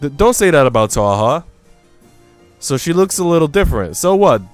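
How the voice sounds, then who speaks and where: A man's voice: a little high, very animated, moderate pace, no room sound, faint music behind, voice pushed up. A man voicing an earnest, easily flustered young maid from a visual novel, with a hesitant stammer. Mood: flustered, defensive.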